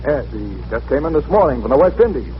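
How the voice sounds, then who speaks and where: An actor playing a blunt, frustrated, slangy police commissioner in 1940s radio style. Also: male voice, on the high side, sing-song, fast talker. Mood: businesslike. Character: gruff, frustrated, plain-spoken male police commissioner. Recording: noisy, muffled old radio recording.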